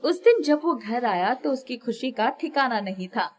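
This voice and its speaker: Female storyteller: raised pitch, lively swings, expressive reading of children's folk tales. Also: moderate pace. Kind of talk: scripted children's story narration.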